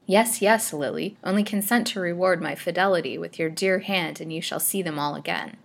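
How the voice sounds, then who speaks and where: A woman's voice: on the low side, a little fast, vocal fry. Woman playing an ardent, possessive male suitor, melodramatic. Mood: coaxing, insistent. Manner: florid, melodramatic declarations.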